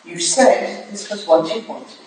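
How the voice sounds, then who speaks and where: A woman's voice: medium pitch, animated, measured pace, darkish tone, lightly acted. A woman playing a proud, commanding Victorian lady with an imperious, clipped manner in a staged drama reading. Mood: insistent. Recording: very echoey hall from far off, faint noise.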